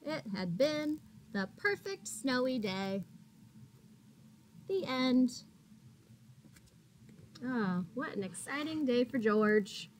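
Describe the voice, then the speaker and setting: A woman teacher reading a picture book aloud to young children, expressively, pausing to put questions to them and gasping for suspense. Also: sits high, slight background noise. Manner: expressive, addresses children as friends, gasps for suspense.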